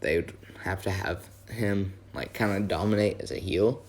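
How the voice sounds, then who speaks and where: A hoarse young man, his voice worn from yelling, rambling casually through a wrestling talk with many fillers and self-corrections. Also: deep voice, moderate pace. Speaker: wrestling video host. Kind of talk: casual fantasy-booking commentary.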